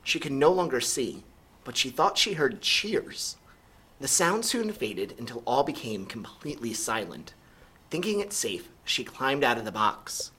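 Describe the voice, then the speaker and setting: A man narrating a children's story aloud in an animated, expressive storytelling style. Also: mid-range voice, a little slow, slight background noise. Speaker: storybook reader.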